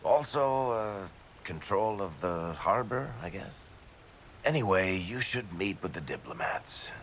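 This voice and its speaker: An actor playing a teasing, offhand dwarf storyteller in a video game. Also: male voice, deep voice, big pitch swings, unhurried, slight background noise. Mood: wry. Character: wry, easygoing male dwarf storyteller.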